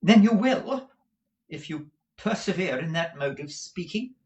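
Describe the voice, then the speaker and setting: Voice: medium pitch, animated, a little slow, darkish tone, pitched above the performer's own voice. A reader voicing an imperious, proper woman who runs a business, formal and emphatic in manner, in a storybook. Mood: stern. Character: imperious businesswoman, brisk, proper shop proprietress wife.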